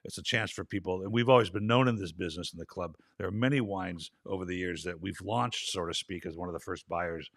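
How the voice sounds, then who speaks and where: A male host in a casual interview chat, a little raspy from travel, chatty and rambling. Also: low voice, fast, few pauses, fairly bright tone, dry recording, studio quality. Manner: chatty, rambling, laughs easily.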